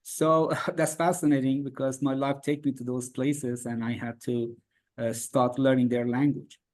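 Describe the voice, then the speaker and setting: Iranian-born man, halting English. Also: mid-range voice, steady tone, a little mellow. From Iran. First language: Farsi. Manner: halting, many fillers and restarts in non-native English. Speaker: guest. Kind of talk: interview answers.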